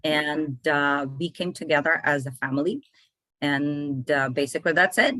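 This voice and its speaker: A woman's voice: sits low, moderate pace, fairly bright tone, no echo. A woman, an immigration consultant talking casually as a guest and repeating phrases for emphasis. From Ukraine. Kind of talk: casual interview talk.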